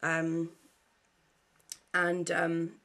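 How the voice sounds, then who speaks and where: A woman's voice: lowish voice, monotone, drawn-out words, pushed and bright. Chatty congested young woman. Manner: rambling and chatty, full of fillers. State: congested, blocked nose. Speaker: vlogger. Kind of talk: casual chat to camera.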